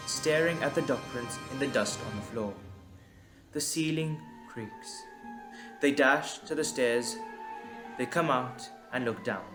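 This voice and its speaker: Slow, suspenseful male narrator with echo. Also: medium pitch. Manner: deliberate, suspenseful. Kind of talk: scripted dramatic narration. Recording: echoey, music underneath. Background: music.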